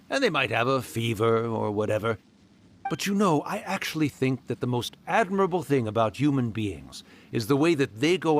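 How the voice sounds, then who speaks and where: A man's voice: mid-range voice, a little hiss or hum. A man voicing a mischievous old male fox spirit in a video game, theatrical and boastful. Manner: theatrical, hammy villain voice, then genial and teasing. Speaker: yokai spirit character.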